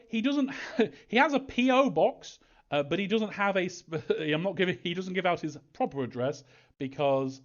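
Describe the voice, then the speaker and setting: A man answering fan questions casually as a game developer, reading them aloud and replying in a hesitant, chuckling, evasive way. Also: on the high side. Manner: hesitant, chuckling, evasive about story details. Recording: dull, lo-fi audio.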